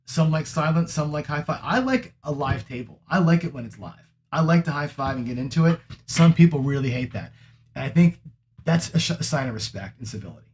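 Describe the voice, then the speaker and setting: A man's voice: a little high, lively intonation, a little fast, slight background noise. A middle-aged man hosting a casual live-stream chat. He rambles, restarts often and ends many points with "right?", and his room sounds a little echoey. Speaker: host. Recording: echoey room, mic off-axis.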